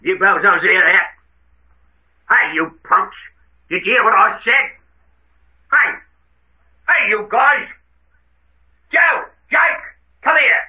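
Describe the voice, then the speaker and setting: Sharp, high-pitched gangster henchman. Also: measured pace, long pauses, full character voice. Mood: alarmed. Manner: tough gangster slang. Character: menacing, sneering gangster henchman. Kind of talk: 1940s radio adventure acting. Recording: muffled old radio recording.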